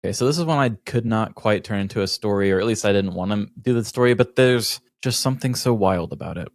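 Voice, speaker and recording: A man's voice: lowish voice, fast, no room sound, clean studio sound. A man hosting a podcast, reading out a news story and chatting casually about it. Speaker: podcast host.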